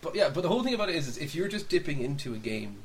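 Guy on a podcast talking really fast about films and games, kinda rambly and opinionated, hissy recording. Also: fairly high pitch, very animated. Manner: rambling, opinionated, thinking aloud. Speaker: podcast co-host. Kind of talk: casual film and game discussion. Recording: clear background hiss.

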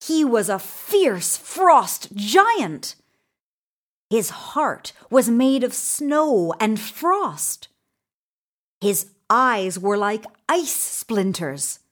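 A woman narrating a children's story in an expressive, deliberate way with dramatic emphasis. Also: on the high side.

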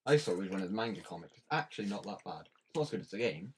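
Husky, lively young man. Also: sits low, racing. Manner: teasing, laughs a lot. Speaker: podcast co-host. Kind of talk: casual gaming podcast chat.

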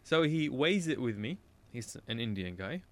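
A man's voice: medium pitch, very animated, moderate pace. A man from Iraq, a radio show guest, telling a casual anecdote in a rambling way, often correcting himself and laughing at his own story.

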